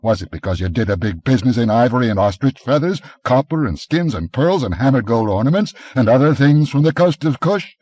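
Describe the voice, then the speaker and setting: A man voicing a gruff commanding barbarian. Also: medium pitch, expressive, fairly quick, few pauses, darkish tone, voice pushed up, close to an everyday voice. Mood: scornful. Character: brawny barbarian adventurer man, blunt and gruff. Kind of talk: audiobook character reading.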